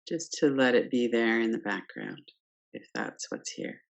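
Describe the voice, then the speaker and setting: Low, creaky-voiced woman guiding meditation. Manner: calm, gentle, pausing between short phrases. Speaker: yoga nidra meditation teacher. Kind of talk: guided meditation, unscripted instruction.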